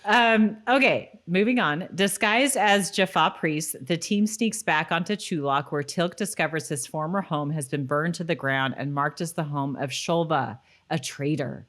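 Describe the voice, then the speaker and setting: A woman's voice: sits low, measured pace, few pauses, a bit bright. A woman co-hosting a rewatch podcast, moving between casual, giggly chat and scripted recap narration. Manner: giggly, breaks into laughter often. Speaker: podcast co-host.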